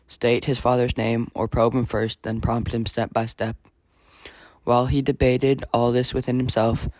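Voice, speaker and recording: A man's voice: medium pitch, darkish tone, dry recording. A man reading epic poetry aloud as scripted audiobook narration, an amateur reader.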